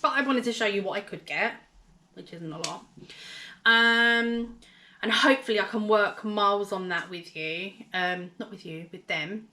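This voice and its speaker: A woman with a hoarse, gravelly voice telling a personal story in a casual vlog, rambling with many fillers and restarts. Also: medium pitch, moderate pace, bright, forceful tone, a little hiss or hum. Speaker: vlogger.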